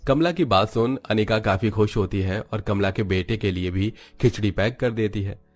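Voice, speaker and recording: A man's voice: on the low side, moderate pace. A man narrating a Hindi cartoon story in a scripted storytelling style.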